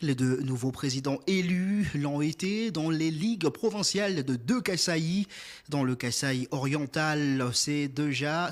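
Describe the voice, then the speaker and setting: Male radio sports presenter, Congolese accent, voice set a little high, news bulletin delivery.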